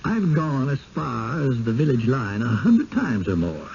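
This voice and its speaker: Creaky, folksy rural American rustic. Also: male voice, mid-range voice, big pitch swings, unhurried, darkish tone. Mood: wistful. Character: wary, folksy rustic village man seeking escape. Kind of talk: 1970s radio drama acting. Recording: dull, hissy old radio broadcast.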